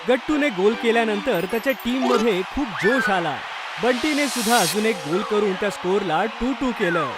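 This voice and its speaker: A man narrating a scripted children's cartoon story as its storyteller. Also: high voice. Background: background music.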